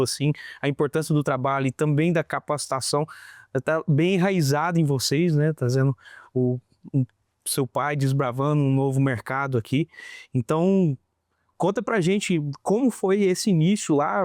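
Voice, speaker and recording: A man's voice: fairly high pitch, a little mellow, no echo, clean studio sound. A man hosting a university podcast, asking questions in a friendly, conversational way. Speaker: podcast host. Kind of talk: interview hosting.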